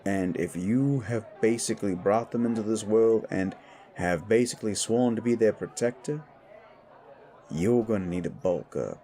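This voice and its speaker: A man voicing a worldly, protective supernatural mentor in an audio drama, measured and admonishing as he speaks. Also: lowish voice, mellow, a faint crowd, lightly acted. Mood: earnest, advising. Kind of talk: audio roleplay dialogue.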